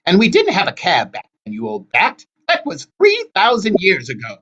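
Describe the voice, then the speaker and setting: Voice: mid-range voice, huge pitch swings, normal speed, fairly bright tone, husky, dull audio, pitched above the performer's own voice, heavily acted. A narrator voicing quarrelsome ancient gray hag sisters who screech, wail and bicker in a storybook reading. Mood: squabbling, indignant. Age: ancient, thousands of years old.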